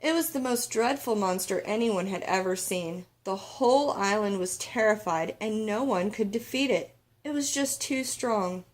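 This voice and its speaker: A woman narrating a children's picture book aloud in an expressive read-aloud style. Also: mid-range voice, normal speed. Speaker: storybook reader.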